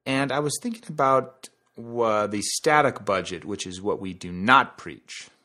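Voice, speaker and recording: A man's voice: fairly low pitch, unhurried, pushed and bright. A man hosting a podcast in a casual, conversational monologue, explaining things through analogies and asides. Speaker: podcast host.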